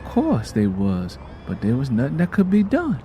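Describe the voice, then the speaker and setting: A man's voice: medium pitch, wildly swooping pitch, normal speed, mellow, faint music behind. A man voicing a sorrowful mother who recounts hard family history in a plainspoken, reflective, folksy way. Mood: matter-of-fact.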